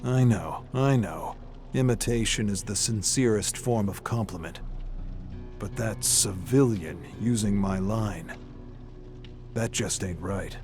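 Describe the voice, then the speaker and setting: Low-voiced grizzled war veteran, world-weary. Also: male voice, slow. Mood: gruff, irritated. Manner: folksy tough-guy slang, world-weary. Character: grizzled, cynical older male war veteran. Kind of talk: dramatic scripted story narration with character voice. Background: loud music.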